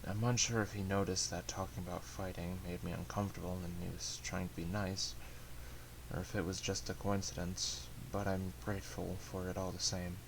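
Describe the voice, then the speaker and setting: A man giving a subdued, soft-spoken first-person narration as an amateur story reader. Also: low voice, flat delivery, normal speed, gravelly. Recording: hissy amateur home recording.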